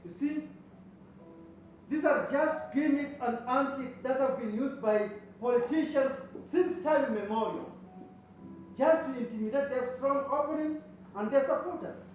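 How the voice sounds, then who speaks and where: A Kenyan man playing a calm, dignified father in a stage play, speaking in measured, formal phrases from far off. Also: very high-pitched, soft background music. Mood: reassuring. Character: reassuring middle-aged father, calm, dignified head of household. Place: stage, distant microphone. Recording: echoey stage recording from far off, faint noise.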